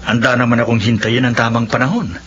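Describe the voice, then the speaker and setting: A man acting as an earnest, patient young suitor who courts gently. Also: mid-range voice, level tone, quick pace, lightly acted. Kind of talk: spoken drama acting. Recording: dull lo-fi audio with faint hum.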